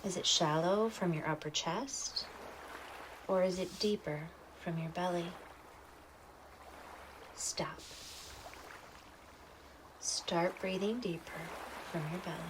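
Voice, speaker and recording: A woman narrating a guided meditation in a soothing, instructional way, leaving pauses between short phrases. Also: fairly low pitch, background noise.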